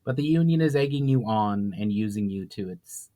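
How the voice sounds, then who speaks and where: A man's voice: fairly low pitch, expressive, moderate pace, dark tone, natural voice. A man voicing a courteous, earnest elf envoy with formal wording in a fantasy story. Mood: grave.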